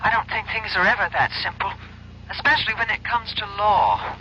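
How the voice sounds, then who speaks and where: A woman's voice: mid-range voice, fairly quick, pushed and bright, lightly acted. A woman playing a concerned, conflicted sweetheart in a radio drama, speaking with tender, pleading feeling. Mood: hurt, conflicted. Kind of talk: 1970s radio drama acting. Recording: dull radio recording, faint noise.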